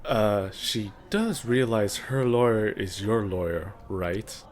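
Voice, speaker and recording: A man's voice: on the low side, animated, takes it slowly. A man playing an earnest, easily embarrassed young adult son in an audio drama. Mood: surprised.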